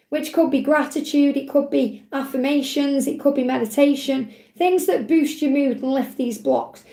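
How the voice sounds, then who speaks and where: A woman, a tarot reader hosting a live Q&A, talking in a chatty, reassuring way while her voice grows croaky. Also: sits high, darkish tone, reverberant. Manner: chatty, reassuring, repeats phrases, ends points with "okay?". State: voice getting croaky. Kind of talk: live-stream tarot reading, reading out chat messages.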